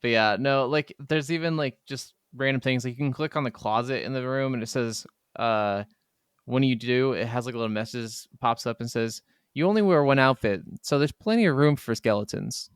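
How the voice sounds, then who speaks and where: A man's voice: mid-range voice, moderate pace, clear, resonant tone, no echo, studio quality. A man co-hosting a gaming podcast in casual, informal chat about video games, with some casual swearing.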